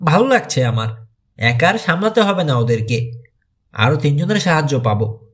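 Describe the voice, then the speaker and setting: An earnest, friendly teenage boy who lives rough as a man narrating an audiobook plays him. He talks conversationally, in a lightish voice with very lively intonation. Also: fairly high pitch, moderate pace. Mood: grateful.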